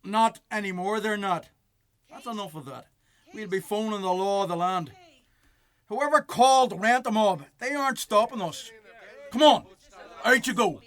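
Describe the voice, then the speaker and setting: An actor playing a cold, officious eviction bailiff who speaks in formal legalese in a radio play. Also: male voice, sits high, moderate pace, pauses often, fairly bright tone. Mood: angry, commanding.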